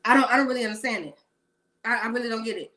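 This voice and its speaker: A woman's voice: fairly high pitch, very fast, background noise. A woman in her late thirties giving blunt, sassy celebrity gossip commentary in a rambling rant. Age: 30s.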